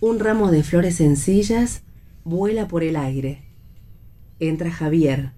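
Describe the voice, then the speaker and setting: Low, unhurried Argentine woman narrator. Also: warm tone. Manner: measured, descriptive. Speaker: stage-direction narrator. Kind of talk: scripted narration of a radio play.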